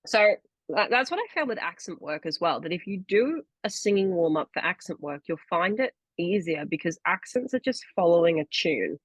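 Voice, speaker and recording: A woman's voice: fairly low pitch, very animated, moderate pace, no room sound, rough recording. A young woman co-hosting a podcast, chatting casually and explaining things at length with many fillers over a video call. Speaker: podcast co-host and actor. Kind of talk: casual chat.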